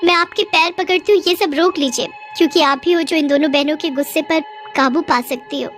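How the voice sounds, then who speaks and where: A woman's voice: sits high, brisk, a bit bright, soft background music, voice pushed up. A woman voicing a stern, earnest female schoolteacher in a cartoon story. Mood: pleading.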